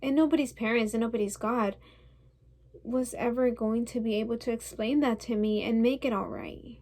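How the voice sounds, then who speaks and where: A woman's voice: a little high, moderate pace, darkish tone. A woman performing a theatrical monologue as a defensive, wounded and stubborn young woman, insistent and rambling. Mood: pained, insistent. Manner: insistent, rambling, piling up lists.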